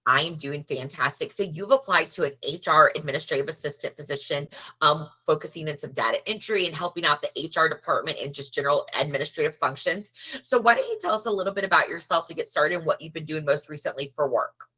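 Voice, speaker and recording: A woman recruiter running a video job interview, upbeat and quick to praise with "great" and "fantastic". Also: low voice, bright, forceful tone, husky, poor audio. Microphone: video call.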